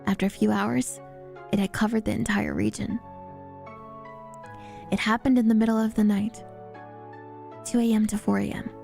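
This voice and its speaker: A woman's voice: mid-range voice, little pitch movement, normal speed, creaky, pauses often, a little mellow, faint music behind. A woman VTuber reading scripted story narration aloud in a dramatic readalong style.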